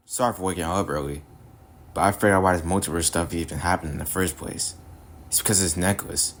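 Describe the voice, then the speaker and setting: A man voicing an earnest, guarded young male devil hunter from another universe, delivering animated-story dialogue. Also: deep voice, slight background noise, close to an everyday voice. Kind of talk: animated-series character dialogue, recorded in repeated takes.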